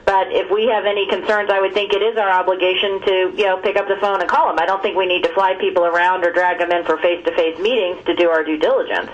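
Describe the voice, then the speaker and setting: A woman's voice: medium pitch, steady tone, quick pace, hardly any pauses. A woman taking part in a telephone conference discussion, speaking in a plain, reasoned way. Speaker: committee call participant. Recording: dull lo-fi telephone line.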